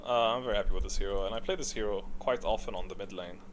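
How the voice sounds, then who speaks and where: A man's voice: on the low side, very fast, a little hiss or hum. A man giving casual, opinionated tier-list commentary, thinking aloud in a rambling way with gamer slang. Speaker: gaming video creator.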